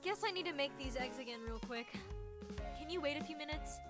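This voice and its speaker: A woman's voice: high-pitched, brisk, soft background music, lightly acted. A woman playing a bubbly, earnest young girl who gushes over her favorite show, in a visual novel fandub. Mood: sheepish.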